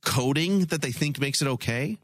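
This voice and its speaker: A man's voice: mid-range voice, lively intonation, moderate pace, no echo, clean studio sound. A man co-hosting a podcast, joking and swearing through crude comedic banter. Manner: joking, laughs often, swears. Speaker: podcast co-host.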